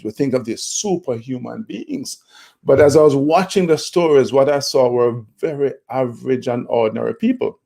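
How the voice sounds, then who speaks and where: A Jamaican man in his fifties, a motivational speaker, telling his life story in a relaxed interview with many fillers and restarts. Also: medium pitch, vocal fry. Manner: reflective, with frequent fillers and restarts.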